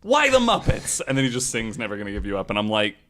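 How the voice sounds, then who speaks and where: Low-voiced man hosting a casual podcast. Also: huge pitch swings, fast, a bit bright. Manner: casual, sweary, jokey. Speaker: podcast host.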